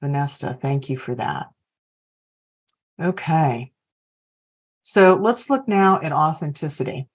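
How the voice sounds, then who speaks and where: A woman's voice: deep voice, expressive, slow, long pauses, slightly rough. A woman coaching leaders on a video call, reflectively posing open questions to the group. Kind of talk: workshop facilitation.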